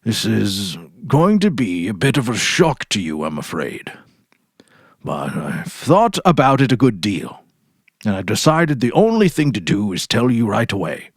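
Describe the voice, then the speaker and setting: Man voicing a weary, curt husband, low voice. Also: sing-song, unhurried, husky, dry recording, studio quality, heavily acted. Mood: grave, awkward. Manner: terse, brusque. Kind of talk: dramatic short story reading.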